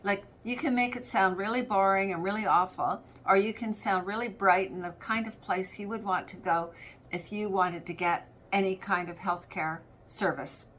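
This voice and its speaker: A woman's voice: mid-range voice, steady tone, normal speed, fairly bright tone, a little hiss or hum. A middle-aged woman coach giving an informal, conversational training talk, explaining things with everyday anecdotes and examples. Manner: conversational, anecdotal, acts out example phone greetings. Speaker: practice management coach presenting a training episode.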